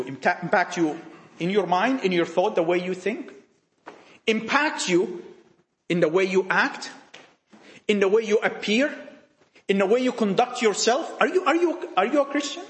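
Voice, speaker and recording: Man, preacher, sermon to young people, high voice, emphatic rhetorical questions, echoey church. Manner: repeats phrases and restarts mid-sentence.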